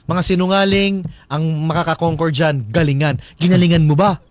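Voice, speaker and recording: A man's voice: a little high, steady tone, moderate pace. A man hosting a casual radio advice segment, bantering and urging in a teasing, repetitive way. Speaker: radio host. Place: radio studio.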